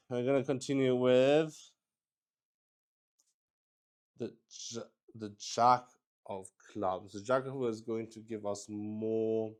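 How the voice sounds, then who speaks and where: Slow-talking man with a clear, smooth voice. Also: fairly low pitch, darkish tone. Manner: halting, thinking aloud while improvising. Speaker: solo tabletop roleplay host. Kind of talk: improvised narration of a solo tabletop roleplaying game.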